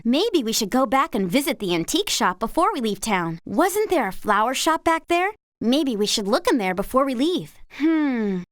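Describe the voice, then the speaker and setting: An actress playing a cheerful, encouraging young horse rider in a video game, with an American accent. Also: female voice, a little high, no room sound, clean studio sound. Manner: perky, encouraging. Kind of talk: scripted video game character dialogue.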